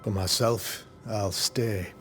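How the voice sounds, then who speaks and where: Pompous, courteous governor, lower register, formal period game delivery. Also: male voice, fairly even intonation, slow pace. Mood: solemn. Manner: formal, wordy, self-satisfied. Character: pompous, courteous colonial governor of middle age. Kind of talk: period drama game acting. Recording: music under the voice.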